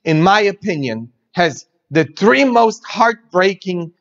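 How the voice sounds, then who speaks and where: Brief: thirties male priest, slow, lively storytelling homily, somewhat high voice, dull webcam audio in a reverberant church. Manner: animated storyteller, gestures a lot. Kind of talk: sermon. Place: church interior, large. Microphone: webcam in front.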